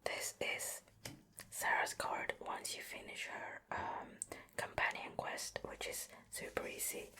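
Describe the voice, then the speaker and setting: Whispered female voice, native Swedish speaker, breathy, unhurried, casual and rambling, ASMR show and tell. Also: sharp tone. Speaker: ASMR video host.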